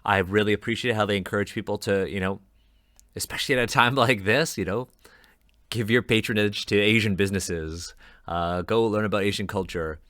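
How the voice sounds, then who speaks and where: Bright-voiced man, lower pitch. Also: steady tone, brisk, no room sound, studio quality. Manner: talkative, laughs often, jokey asides. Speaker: podcast co-host. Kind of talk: casual panel discussion.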